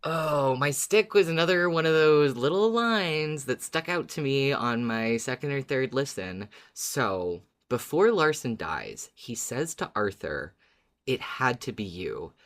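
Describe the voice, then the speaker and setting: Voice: medium pitch, big pitch swings, a little slow, a bit bright. A podcast co-host in casual discussion, informal and agreeable, thinking aloud while talking.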